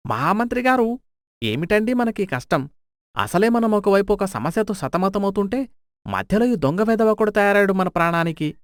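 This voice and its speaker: A man's voice: high-pitched, sing-song, moderate pace, dry recording, clean studio sound. A man voicing a flustered, deferential royal minister who complains, in a dramatised folk tale. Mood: worried, grumbling. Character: flustered, fawning royal minister, eager for harsh punishment.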